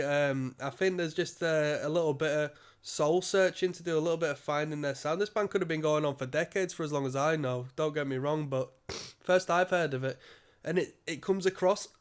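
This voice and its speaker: A man with a cold, giving a rambling casual album review full of ums and restarts. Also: on the high side. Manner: rambling, many ums and restarts, occasional coughs. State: stuffy nose from a cold. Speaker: music reviewer.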